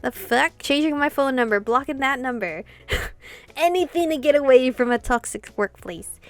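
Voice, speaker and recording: A woman doing casual, expressive gameplay commentary, reading visual novel dialogue aloud and adding sweary asides. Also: high-pitched, moderate pace, dry recording. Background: game music.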